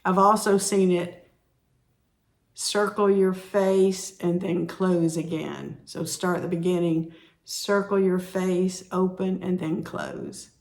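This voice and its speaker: A woman teaching sign language, explaining each sign slowly and repeating the words as she demonstrates. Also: lowish voice, fairly even intonation, creaky, darkish tone. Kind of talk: slow instructional explanation.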